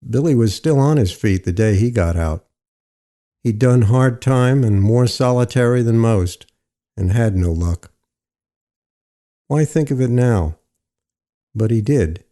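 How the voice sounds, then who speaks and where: A man reads literary fiction with a mellow, relaxed tone. His pitch sits in a middle male range, and his delivery is measured and deliberate, like careful audiobook storytelling. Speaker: narrator.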